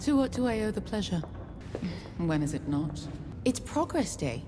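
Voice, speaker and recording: An actress playing a poised, ambitious noblewoman councillor in an animated fantasy drama, speaking in a measured, formal, silky and calculating way. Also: mid-range voice, lightly acted. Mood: persuasive. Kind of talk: animated drama series acting. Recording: clips with music behind the voice.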